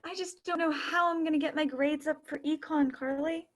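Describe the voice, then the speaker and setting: A young woman in an amateur stage play, acting an insecure, easily flustered high school senior who stumbles over words. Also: fairly even intonation, normal speed, natural voice. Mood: discouraged. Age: young adult. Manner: flustered, stammering.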